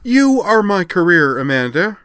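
Fiery, devoted young woman played by a man, high-pitched and vehement, emotional audiobook character, dry close sound. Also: sing-song, measured pace, fairly bright tone, no room sound, full character voice. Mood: upset, insistent. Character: fiery, distraught young woman, devoted and fiercely loyal friend.

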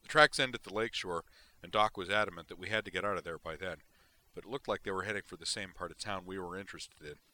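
A man's voice: low voice, fast talker, pushed and bright. A man voicing a burly, grizzled veteran male Marine sergeant with a wry, blunt military way of talking.

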